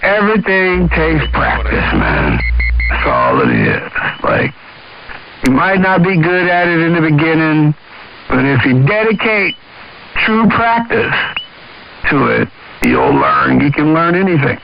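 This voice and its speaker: A man's voice: on the high side, sing-song, unhurried, vocal fry, long pauses, a crowd in the background. An American man chatting casually and emphatically over two-way radio, using slang and swearing freely. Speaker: CB radio operator. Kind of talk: casual chat about video games. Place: on-air CB radio transmission. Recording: dull CB radio with hiss.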